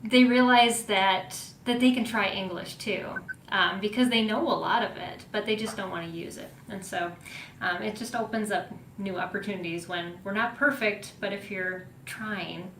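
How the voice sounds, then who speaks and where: A woman's voice: fairly even intonation, normal speed, fairly bright tone. A woman hosting a podcast, chatting casually, laughing often and giving many small affirming replies. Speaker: podcast host. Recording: roomy echo with faint rumble.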